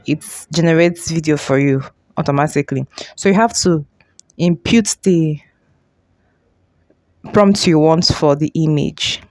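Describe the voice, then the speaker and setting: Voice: medium pitch, vocal fry, a little mellow, dry recording. An informal tutorial host with a mid-range voice. They explain step by step and often repeat or reword a phrase. Music plays behind the talk.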